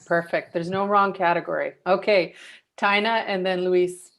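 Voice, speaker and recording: A woman hosting an online group session, calling on participants by name in a brisk, practical way. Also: lowish voice, steady tone, vocal fry, a bit bright. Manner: brisk, calls on people by name. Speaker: host.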